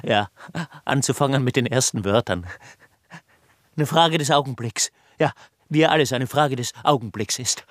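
An actor playing an obsessed, despairing older man, a failed scholar, in a halting, repetitive literary radio drama monologue that swells into outbursts. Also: mid-range voice, no echo, clean studio sound, lightly acted. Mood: bitter brooding.

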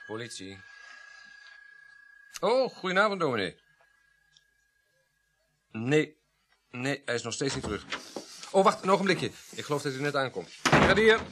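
A man's voice: medium pitch, very animated, normal speed, long pauses, noisy background, close to an everyday voice. An actor playing a cheeky, sociable young policeman in a radio play, bantering in a jaunty way. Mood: businesslike. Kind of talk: radio drama dialogue. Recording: radio play, busy crowd behind.

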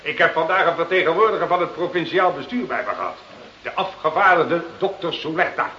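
Sly officious town mayor, bright highish voice, insinuating radio-drama delivery, muffled recording. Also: male voice, lively intonation, a little fast, roomy sound. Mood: scheming, confiding. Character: sly, pompous, corruptible small-town mayor. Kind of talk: Dutch radio play acting.

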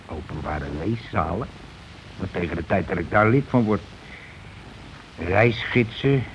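A blustering working-class husband in an old radio play. He lays down the law in colloquial speech and pushes for agreement. The recording is muffled, with some noise behind the voice. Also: lowish voice. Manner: argumentative, colloquial, keeps demanding agreement. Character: bossy, blustering working-class husband and landlord, war veteran.

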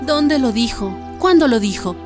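A woman's voice: a little high, lively intonation, normal speed, over music, natural voice. A woman playing an outspoken, practical neighbour and friend in a radio drama. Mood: firm, persuasive.

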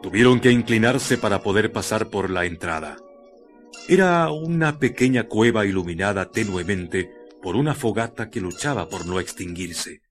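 A man narrating a scripted radio drama in a measured, literary storytelling manner. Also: fairly low pitch, lively intonation. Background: music.

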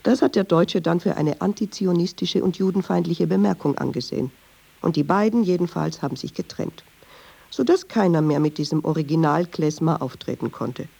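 A woman's voice: lowish voice, normal speed, vocal fry, mellow, breathy edge. An actress playing a talkative, wry woman storyteller in a German radio play, digressive, colloquial and easily offended. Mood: sardonic storytelling.

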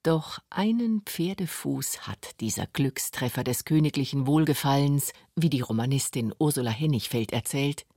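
A man's voice: mid-range voice, lively intonation, moderate pace, hardly any pauses, a little mellow, no room sound, studio quality. A man reading scripted documentary narration for a radio feature. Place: radio studio.

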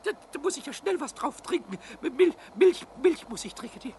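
Cheeky childlike kobold for a radio play, very shrill, creaky, repetitive and excitable, faint noise behind. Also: male voice, moderate pace, heavily acted. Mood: curious. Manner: stammering, repeating words, exclaiming.

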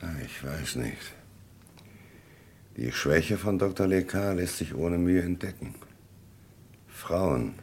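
A middle-aged police commissioner questions people in an old radio crime play. His voice is very low and creaky, yet his intonation moves widely. He is deliberate and probing. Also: lively intonation, a little mellow, exaggerated voice. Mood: musing, probing. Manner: deliberate, interrogating, hesitant fillers. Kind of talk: vintage German crime radio drama acting.

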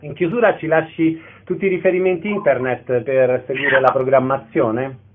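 A man's voice: mid-range voice, fairly even intonation, moderate pace, background noise. A man working as an interviewer, delivering prepared introductions and short questions. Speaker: radio interviewer. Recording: narrow-band radio sound.

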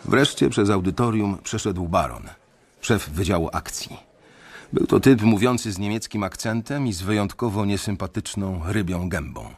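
Husky low-voiced male radio narrator. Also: darkish tone. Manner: measured, brooding first-person telling. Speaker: narrator and main character.

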